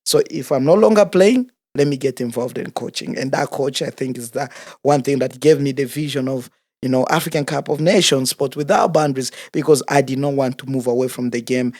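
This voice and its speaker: A man in his thirties from Congo talks as an interview guest about his coaching work. His voice sits at a middle pitch and comes across close and dry, with no room sound. He speaks earnestly and at an unhurried pace, with some fillers along the way. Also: darkish tone, dry recording. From Democratic Republic of the Congo. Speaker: guest, soccer coach and organizer. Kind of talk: casual interview conversation.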